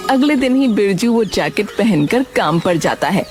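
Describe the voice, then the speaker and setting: A woman narrating a children's cartoon story in a steady, descriptive way. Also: mid-range voice, moderate pace, music in the background.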